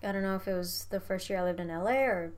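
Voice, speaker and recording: A young woman from Indonesia, chatting casually as a guest, animated and full of "like" and "you know what I mean", with casual swearing. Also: mid-range voice, fast talker. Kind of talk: casual chat.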